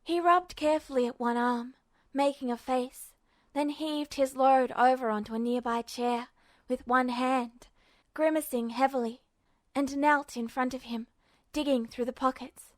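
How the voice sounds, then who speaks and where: A woman's voice: high-pitched, fairly even intonation, a little slow, dry recording. A woman reading scripted fiction as an audiobook-style narrator. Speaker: podfic narrator.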